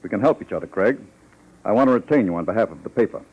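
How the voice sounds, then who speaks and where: A guarded, moneyed publisher, speaking quickly and formally with a lively, swooping delivery. He hesitates, then turns businesslike. It is vintage radio drama with a slightly dull, aged sound. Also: lowish voice, breathy edge. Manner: hesitant, discreet, formal, with pauses. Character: wealthy, guarded, businesslike male newspaper publisher. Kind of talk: 1950s radio drama acting. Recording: slightly muffled old radio recording.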